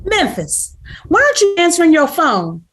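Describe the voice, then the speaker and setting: A woman's voice: very high voice, wildly swooping pitch, normal speed, a bit bright, heavily acted. A woman playing an urgent, commanding girlfriend in a dramatic screenplay table read.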